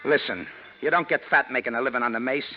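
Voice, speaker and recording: An actor playing a nervous, slangy American ex-convict in a 1940s radio crime drama, pleading and hesitant. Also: male voice, medium pitch, fast talker, close to an everyday voice. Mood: self-justifying. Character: nervous, fast-pleading male ex-convict, slangy small-time crook. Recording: dull, noisy vintage radio recording.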